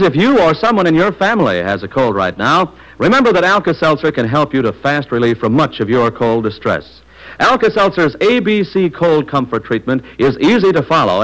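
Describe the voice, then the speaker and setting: A man delivering a scripted 1940s radio commercial as an announcer, with emphatic, measured salesmanship. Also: medium pitch, fairly bright tone, low-quality recording.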